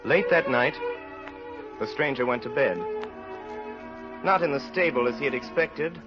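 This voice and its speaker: A man's voice: medium pitch, unhurried. A man narrating a classic radio drama in a dramatic scripted storytelling style. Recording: muffled vintage radio broadcast with faint music.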